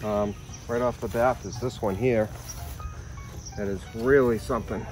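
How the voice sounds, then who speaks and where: A man's voice: on the low side, fairly even intonation, unhurried, a little mellow, soft background music. A middle-aged man with a Massachusetts accent, talking enthusiastically about flowers in a sunny garden. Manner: enthusiastic, easygoing, chuckles often. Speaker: garden visitor. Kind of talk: casual interview about flowers.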